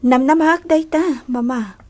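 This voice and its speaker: A woman's voice: high-pitched, normal speed, a little mellow, lightly acted. A woman with a Filipino accent playing a sweet, polite young female volunteer teacher in a radio drama, with a girlish manner. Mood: gentle. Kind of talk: radio drama acting in Ilocano.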